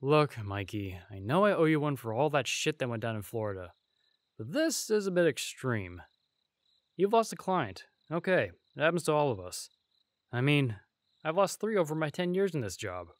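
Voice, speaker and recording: A man's voice: on the high side, very animated, moderate pace, dry recording. A man playing a skeptical veteran bodyguard and exasperated friend in an audio roleplay, blunt and incredulous.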